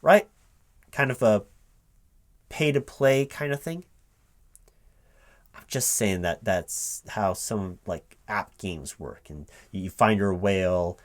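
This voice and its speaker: Low-voiced man interviewing, keyboard typing behind. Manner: halting, puzzled questioning, checking back with "right?". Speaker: job interviewer, recruiting manager in a roleplay. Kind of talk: one-sided spoken ASMR interview roleplay. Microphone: close microphone with typing sounds behind. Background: computer keyboard typing.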